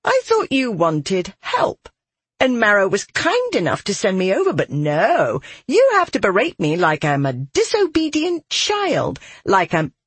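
Woman playing a petulant, high-voiced young spirit. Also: huge pitch swings, moderate pace, hardly any pauses, a bit bright, breathy edge, no echo, heavily acted. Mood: offended. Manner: petulant, haughty. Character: volatile, petulant young female spirit inside someone's mind.

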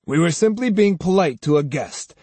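A man's voice: a little high, expressive, moderate pace, darkish tone, husky, no echo, voice pushed up. A man voicing a proud, hot-tempered samurai retainer with an indignant, blustering manner in an audiobook story.

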